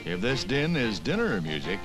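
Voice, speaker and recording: Animated American male 1960s newsreel narrator. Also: lowish voice, normal speed. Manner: punchy, wry, punning delivery. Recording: 1960s newsreel film soundtrack. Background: music.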